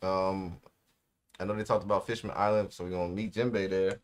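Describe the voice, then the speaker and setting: A young man with a fairly low voice and an American accent co-hosts a reaction video. He talks in a casual, slangy way. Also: steady tone, brisk. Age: young adult. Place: small indoor room.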